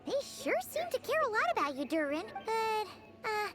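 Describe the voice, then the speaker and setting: A woman voicing a small, chatty, curious childlike fairy companion in a video game, with a squeaky voice. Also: sing-song, normal speed, loud music behind the voice. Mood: chirpy, curious. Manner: refers to self in third person, chatty.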